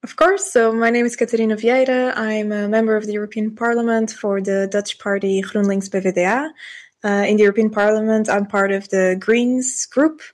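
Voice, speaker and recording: A woman in her twenties from Portugal, a politician answering interview questions thoughtfully, with pauses and restarts. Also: medium pitch, fairly even intonation. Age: 20s. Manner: thoughtful, hesitant with fillers and restarts. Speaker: politician, guest.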